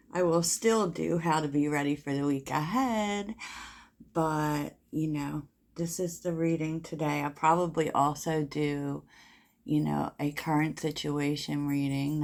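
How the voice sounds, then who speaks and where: A soft-spoken woman reads tarot in a relaxed, chatty way, wandering off into asides and laughing at her own remarks. Her voice sits low and carries a gravelly fry. She takes her time between phrases. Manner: soft-spoken, rambling, giggly, many fillers. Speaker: tarot reader. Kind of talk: casual tarot reading to camera.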